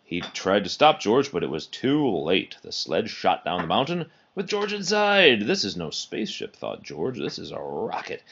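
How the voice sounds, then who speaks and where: A man reading a children's picture book aloud in an expressive, animated storytelling way. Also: low voice, moderate pace, gravelly, hardly any pauses, husky. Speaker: storybook reader. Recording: dull, muffled lo-fi recording.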